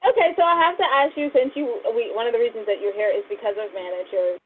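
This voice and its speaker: A woman's voice: on the high side, quick pace. A woman hosting a casual interview, chatting and asking questions. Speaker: interview host. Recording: noisy, tinny phone-like audio.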